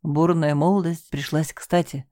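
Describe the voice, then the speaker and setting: Woman reading a gruff, blunt man. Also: low-pitched, steady tone, unhurried, dark tone, no room sound, studio quality.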